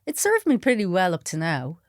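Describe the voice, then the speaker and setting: Irish woman, low voice, chatty teacher. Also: wildly swooping pitch, brisk, no room sound, clean studio sound. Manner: enthusiastic, chatty, frequent agreeing "yeah". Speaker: guest, Irish language teacher. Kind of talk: casual interview chat.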